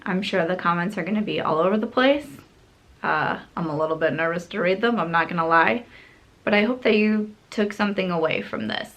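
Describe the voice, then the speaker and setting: A woman's voice: mid-range voice, a bit bright. Candid young woman vlogging while doing makeup. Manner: candid, earnest, emotional. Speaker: beauty vlogger. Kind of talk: casual chatty get-ready-with-me monologue.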